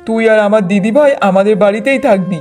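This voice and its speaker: A man's voice: high voice, brisk, music in the background, natural voice. A man voicing a protective older village father in a cartoon, firm and admonishing. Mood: reassuring. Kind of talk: Bengali moral-story cartoon dubbing.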